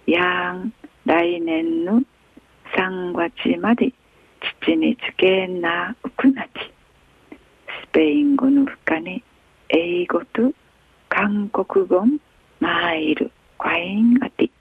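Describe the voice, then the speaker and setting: A woman's voice: medium pitch, gravelly, husky, dry recording. Woman reading news over tinny radio. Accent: Okinawan. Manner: slow, measured reading. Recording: tinny phone-like radio sound.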